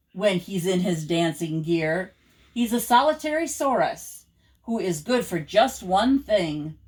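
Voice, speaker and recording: An older woman, a grandmother, reading children's rhyming poems aloud in an expressive, rhythmic, theatrical way. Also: medium pitch.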